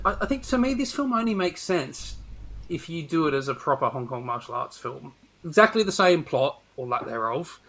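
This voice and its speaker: A man's voice: a little high, very animated, moderate pace, fairly bright tone. A man giving emphatic, critical opinions in a casual film podcast chat. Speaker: film discussion podcast panelist.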